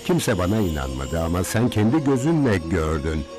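Nervous, shady cartoon scientist. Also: male voice, low voice, sing-song, normal speed, music in the background. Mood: cautioning, proud. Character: nervous, shady male scientist, greedy and wary. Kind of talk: dubbed cartoon acting.

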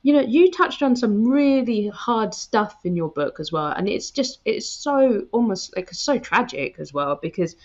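A woman's voice: fairly high pitch, lively intonation, measured pace, few pauses, lo-fi sound. A woman in her thirties hosting a podcast interview from home, speaking empathetically with hesitant fillers. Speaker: podcast host. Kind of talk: casual interview chat. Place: home room with bookshelves. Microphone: headset.

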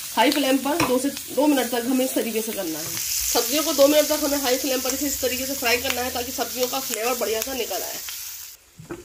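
A woman with a Delhi-area Hindustani accent talking calmly through a recipe step by step, as a cooking channel host. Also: fairly high pitch, a little hiss or hum. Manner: calm instructive, repeats "here" and "this way".

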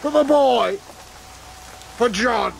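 An actor playing an old, embittered, defeated English king in a historical radio drama, proud and solemn in halting phrases. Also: extremely high pitch, vocal fry, slight background noise, heavily acted, poor audio. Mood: grudging, solemn. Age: older.

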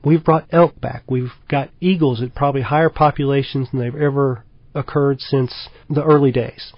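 A middle-aged man from Kentucky talks through his answers in a relaxed, thoughtful way, with plenty of fillers along the way. The recording is dry and slightly dull, like a small radio studio. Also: medium pitch, no echo. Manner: easygoing, thoughtful, lots of fillers. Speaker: wildlife biologist guest. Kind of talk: radio interview.

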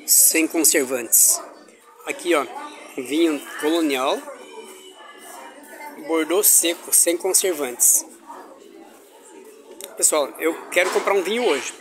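A man's voice: a little high, takes it slowly, long pauses, a crowd in the background. A man talking casually and cheerfully to his camera while walking around an indoor farmers' market, chatting with vendors and children. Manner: cheerful, chatty, religious exclamations. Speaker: travel vlogger. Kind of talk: casual vlog chat.

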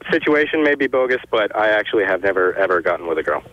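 A teenage boy calls in over a dull, muffled phone line. His voice sounds deep for his age, and he talks fast and eagerly, pleading his case. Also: lively intonation. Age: teens. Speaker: caller.

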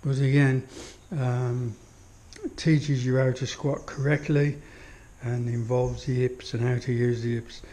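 A man giving a relaxed walkthrough of his home gym, admiring each piece of kit with many pauses and fillers, recorded on a handheld action camera in a small indoor room. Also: mid-range voice, rough recording. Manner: unhurried, full of fillers, admiring. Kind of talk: casual walkthrough commentary. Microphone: action camera held while walking.

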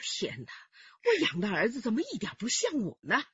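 Stern elderly matriarch, raspy and highly animated, commanding, dull radio-drama sound. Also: female voice, a little fast, full character voice. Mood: indignant, resolute. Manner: sharp, reproachful, commanding. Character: stern, scolding grandmother, decisive family matriarch.

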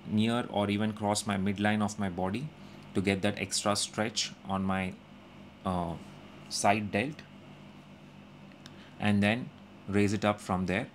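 A young man calmly narrating his gym workout as a voice-over, explaining each step with frequent pauses. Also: on the low side, slight background noise. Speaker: fitness vlogger. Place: indoor gym.

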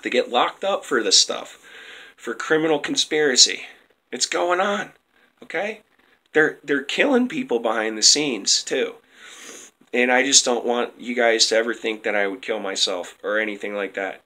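A man's voice: medium pitch, lively intonation, moderate pace, sharp tone. A young man giving a rambling, heated monologue into a webcam in a small room at home. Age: young adult. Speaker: vlogger. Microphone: webcam close up.

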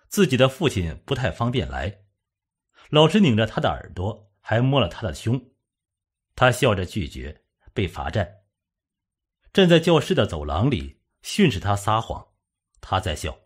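A man narrating a suspense novel as an audiobook, in a measured, dramatic storytelling manner. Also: medium pitch, slightly rough.

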